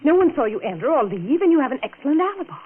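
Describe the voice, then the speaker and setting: A woman's voice: sits high, big pitch swings, very fast, phone-quality sound. Through a thin, faintly noisy 1940s radio recording comes a devoted lover in a horror melodrama. She is resolute and urgent, with a commanding, dramatic delivery. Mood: urgent, probing. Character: devoted, resolute woman shielding her murderer lover.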